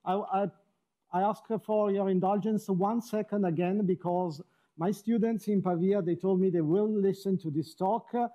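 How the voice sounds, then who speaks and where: A man's voice: high-pitched, fairly even intonation, moderate pace, dark tone, lo-fi sound. A middle-aged man from Switzerland, giving an academic seminar talk in a lecture hall, wry and self-deprecating, often rephrasing mid-sentence.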